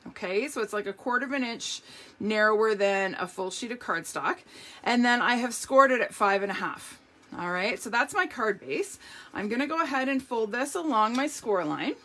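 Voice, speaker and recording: A hoarse woman hosting a live craft demonstration, chatty and upbeat, greeting viewers by name as she works. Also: on the high side, animated, normal speed, bright, forceful tone. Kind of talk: live craft demo chat.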